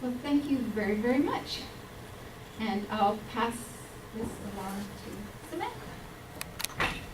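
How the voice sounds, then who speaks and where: A woman giving an earnest, explanatory public health talk, with frequent pauses and ums. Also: a little high, animated, background noise. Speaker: health speaker. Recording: roomy hall mic from a distance.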